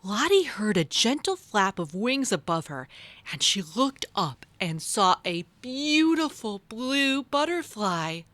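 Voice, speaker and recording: Lady reading a kids story, super expressive, slow, really dry sound. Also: mid-range voice, no echo. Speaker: children's storyteller. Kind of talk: scripted storybook narration for children.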